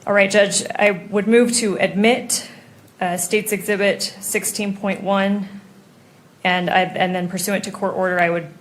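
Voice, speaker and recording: A woman's voice: medium pitch, flat delivery, a little slow. An American woman questioning a witness as an attorney in a courtroom, speaking formally, methodically and precisely. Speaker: prosecuting attorney examining a witness. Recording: noisy courtroom audio.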